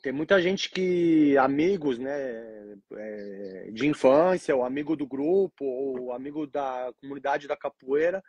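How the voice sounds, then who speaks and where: Paulista guy in his 40s on a video call, kinda husky, dry sound, laid back. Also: medium pitch, a little slow, few pauses, no echo, lo-fi sound. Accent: Paulista Brazilian Portuguese. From Brazil, São Paulo. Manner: relaxed, fills with "tipo assim". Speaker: guest, capoeira teacher abroad. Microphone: video call from abroad.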